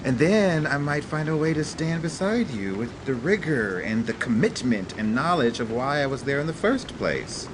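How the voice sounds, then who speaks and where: A man playing an earnest, eager, animated son. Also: mid-range voice, normal speed, few pauses, noisy background, natural voice. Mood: devoted, pleading. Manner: earnest, deferential, declamatory. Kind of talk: comic radio theatre acting.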